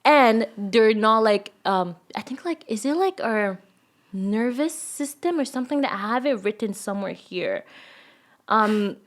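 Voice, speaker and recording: A woman's voice: on the high side, animated, unhurried. A woman hosting a podcast in a loose, rambling way, with a lot of "like" fillers. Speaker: podcast host. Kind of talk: casual chat.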